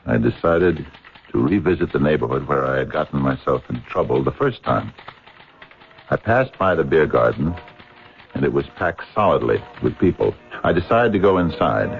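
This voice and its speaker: An actor playing an earnest, stubborn American professor in a 1970s radio drama, speaking in a deliberate, dry, insistent way. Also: male voice, extremely low pitch, vocal fry, exaggerated voice. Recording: narrow-band old radio broadcast.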